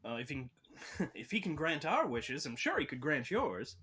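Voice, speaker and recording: A woman's voice: low-pitched, very animated, normal speed, a bit bright, slightly rough, exaggerated voice. A woman playing a tender, polite tin woodman in a live table read, sensitive in manner. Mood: matter-of-fact. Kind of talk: live table read of a children's fantasy story.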